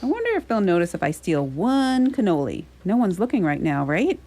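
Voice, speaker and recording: A woman's voice: on the low side, very animated, fairly quick, mellow. A woman playing a nervous, dutiful grown son in a radio play, flustered and hesitant. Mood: anxious. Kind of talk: community radio theatre acting.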